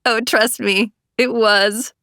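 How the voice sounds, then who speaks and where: Mischievous young female AI played by a man, raised lilting pitch, slow teasing phrasing, perky, audiobook character, dry studio sound. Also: steady tone, pushed and bright, clear, resonant tone, no room sound, exaggerated voice. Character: playful, mischievous young female artificial intelligence companion.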